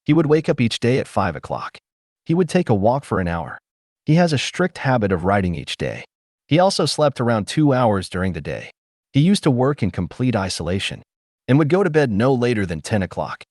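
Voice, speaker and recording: A man reading a self-help audiobook as scripted narration, measured and instructive, recorded close in a dry space. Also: mid-range voice, a little mellow, no room sound.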